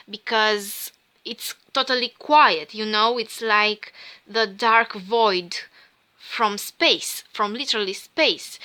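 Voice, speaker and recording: A woman with a Romanian accent speaks slowly and dreamily, her voice bright and smooth. She muses her way through a rune reading in English, her second language. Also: on the high side. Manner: slow, musing, dreamy. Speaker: tarot reader.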